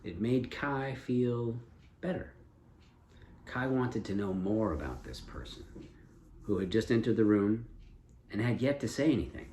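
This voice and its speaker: A man improvising a story as a storyteller, speaking in a deliberate, inquisitive and encouraging manner. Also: fairly low pitch, lively intonation, a little mellow. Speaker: storyteller and co-host with a child. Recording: echoey room, faint background noise.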